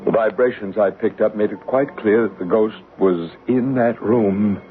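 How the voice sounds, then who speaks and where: An actor playing a calm male parasensory investigator in a 1970s radio drama, questioning people in a measured, probing way. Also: on the low side, soft background music, natural voice. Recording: thin phone-like old radio broadcast with faint hiss.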